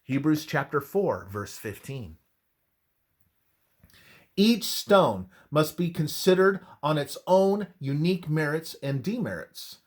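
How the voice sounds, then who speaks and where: Male narrator for devotional script: unhurried and deliberate, highly varied intonation, emphatic pauses. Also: animated. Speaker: reader of a religious devotional text.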